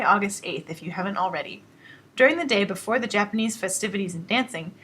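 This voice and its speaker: A woman reading scripted announcements about base events in an even promo style. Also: mid-range voice.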